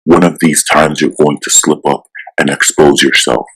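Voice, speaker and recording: A man voicing a stern, steadfast superhero with a firm, measured delivery in a comic-book drama. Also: a bass voice, little pitch movement, a bit bright, heavily acted, low-quality recording. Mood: resolute. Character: stern, righteous male superhero, steadfast and unafraid.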